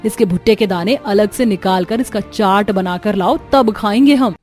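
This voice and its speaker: A woman's voice: medium pitch, normal speed, a little mellow, faint music behind, lightly acted. A woman voicing a bossy, spiteful elder sister-in-law in a cartoon story, haughty and scolding. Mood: sarcastic, scolding. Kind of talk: Hindi cartoon story dialogue.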